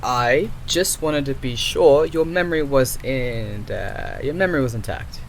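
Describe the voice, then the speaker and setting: Guy acting as nervous secretive tech developer, slow and halting, mid pitch, audio roleplay, bit of background noise. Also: lightly acted. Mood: nervous, flustered. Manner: halting, stammering, muttering to himself. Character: nervous, secretive male AI developer, flustered and suspicious.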